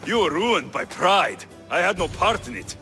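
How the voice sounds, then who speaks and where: An actor playing a capable, earnest Greek mercenary hero in a video game cutscene. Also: male voice, high voice, very animated, moderate pace, sharp tone, soft background music, heavily acted. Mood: challenging.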